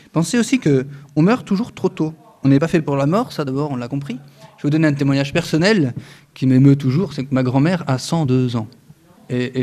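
A man in his thirties gives an earnest religious talk to an audience. His voice sits at an ordinary middle pitch for a man, and his loudness rises and falls as he presses his points. He stumbles often on fillers and restarts, with dry touches of humor. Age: 30s. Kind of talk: spoken religious teaching to an audience.

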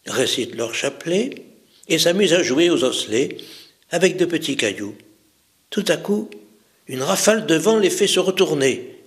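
Man telling a religious story deliberately. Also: fairly high pitch, fairly bright tone. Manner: deliberate, broken into short phrases with pauses.

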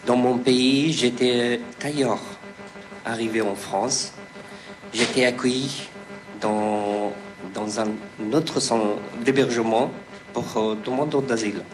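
A man, reading a personal testimony slowly and haltingly, with restarts. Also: medium pitch, over music. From Afghanistan. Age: 30s. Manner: slow, halting delivery with restarts. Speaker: refugee giving testimony.

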